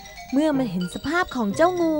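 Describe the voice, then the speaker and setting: A woman's voice: sits high, big pitch swings, brisk. A woman narrating a scripted children's story as the storyteller. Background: music.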